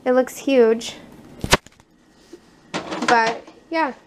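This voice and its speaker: A young American woman with a high-pitched voice narrates a casual show-and-tell vlog. She takes her time, naming things in short, halting phrases. The handheld camera recording in a home kitchen carries noticeable noise. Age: 20s. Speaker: family vlogger. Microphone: handheld camera at arm's length.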